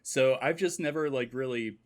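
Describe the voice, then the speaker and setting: A man's voice: medium pitch, moderate pace. A man co-hosting a podcast, chatting informally and explaining things as he goes. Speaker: podcast co-host. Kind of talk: casual chat.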